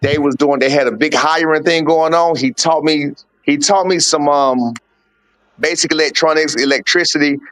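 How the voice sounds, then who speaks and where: A man's voice: a little high, moderate pace, a bit bright, no echo. Blunt, candid man in his forties. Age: 40s. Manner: candid, blunt storytelling with slang and swearing. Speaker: boxing coach and father of a fighter. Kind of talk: casual podcast interview.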